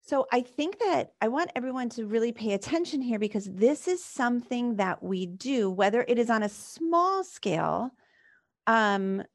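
High-voiced probing woman coach. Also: animated, normal speed. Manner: probing, reflective, many "like" fillers. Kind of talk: live coaching session.

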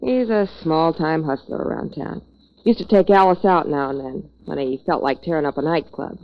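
An actress playing a wary, flirtatious, tough-talking dame in a 1940s radio noir drama, cool and insinuating. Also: female voice, low voice, moderate pace. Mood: guarded. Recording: muffled vintage radio recording.

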